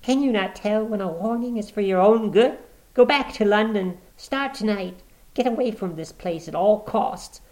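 A man voicing an urgent, secretive young English woman who speaks in a low, eager voice with a lisp, in an audiobook reading. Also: moderate pace, a little mellow, natural voice, poor audio.

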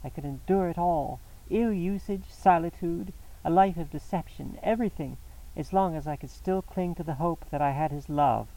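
A man voicing a high, pleading anguished young wife. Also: normal speed, dark tone, close to an everyday voice, low-quality recording. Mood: distraught. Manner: pleading, impassioned. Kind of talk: audiobook character voice.

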